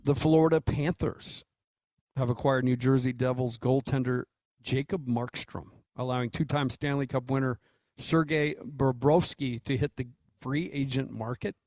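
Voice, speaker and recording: A man's voice: mid-range voice, fairly even intonation, moderate pace, a little mellow, dry recording. American man in dry-sounding sports radio banter. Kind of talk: casual sports talk banter.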